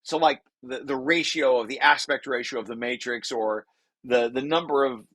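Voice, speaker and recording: A man reading aloud from a technical paper and explaining it informally, halting and correcting himself as he repeats words. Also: medium pitch, fairly bright tone, no echo. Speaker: presenter and paper author.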